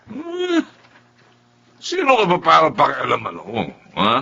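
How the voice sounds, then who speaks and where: A man acting a drunk, belligerent, raspy neighborhood man who slurs and taunts in a Filipino radio drama. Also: a little high, huge pitch swings, a little slow, bright, forceful tone, a little hiss or hum, heavily acted. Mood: drunken, belligerent. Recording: dull, muffled audio.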